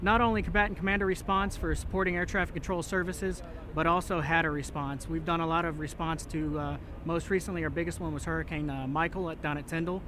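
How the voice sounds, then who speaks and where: Higher-pitched man explaining over outdoor noise. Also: fairly even intonation, quick pace, few pauses, fairly bright tone. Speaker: military training instructor, master sergeant. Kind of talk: on-camera interview, explaining. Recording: outdoor noise, vehicle hum.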